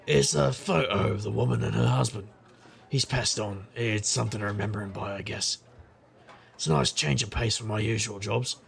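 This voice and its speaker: Man playing a gravelly, world-weary private eye. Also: on the low side, moderate pace. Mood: casual, evasive. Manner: wry, world-weary noir narration. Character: gruff, world-weary middle-aged male time-travelling private investigator. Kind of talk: audio drama narration and dialogue.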